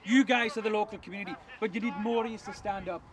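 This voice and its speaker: A middle-aged man speaking to a protest crowd without a megaphone, projecting his big voice forcefully. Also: sits high, steady tone, quick pace. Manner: loud unamplified address, forceful. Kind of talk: street protest speech. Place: outdoors by a hotel car park. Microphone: far camera, no megaphone. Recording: distant outdoor voice, crowd noise.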